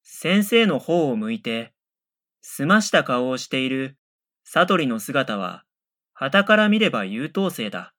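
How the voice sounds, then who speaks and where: A man playing an earnest, deadpan middle school boy in a radio drama, matter-of-fact and given to dry retorts. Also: medium pitch, takes it slowly. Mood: earnest narrating. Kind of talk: radio drama first-person narration and dialogue.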